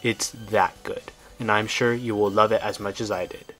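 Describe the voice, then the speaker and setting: Male game reviewer over clear background music. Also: fairly low pitch, steady tone, moderate pace, sharp tone, poor audio.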